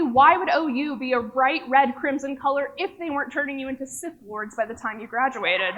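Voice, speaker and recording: A young woman from Oklahoma speaks from a stage in a fairly high voice. She tells her story in a rehearsed way and pokes fun at herself as she goes. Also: a bit bright, rough recording. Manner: wry, self-mocking humor. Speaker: speaker at a live event. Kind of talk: rehearsed personal talk. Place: stage before an audience.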